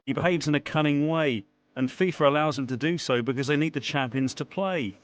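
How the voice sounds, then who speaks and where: A man's voice: on the high side, a little fast. A man giving a critical opinion in short, plain statements.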